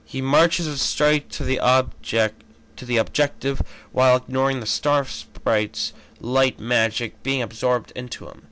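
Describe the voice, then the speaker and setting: Man, amateur fan fiction reader, slow pace, medium pitch, halting delivery, self-correcting, casual commentator. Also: fairly even intonation, pushed and bright, clear, resonant tone, low-quality recording. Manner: halting, stumbles over words and corrects himself. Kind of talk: amateur story reading with casual asides.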